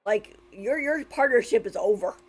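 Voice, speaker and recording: A woman's voice: a little high, moderate pace, slight background noise. A woman giving casual, opinionated live commentary as she streams a game. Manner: informal, opinionated.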